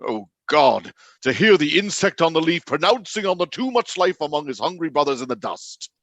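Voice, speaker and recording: An actor playing a stern, indignant spirit who speaks in a declamatory, rebuking way in a radio play. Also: male voice, on the high side, big pitch swings, moderate pace, fairly bright tone, no echo, exaggerated voice. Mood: stern rebuke. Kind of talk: theatrical radio drama acting.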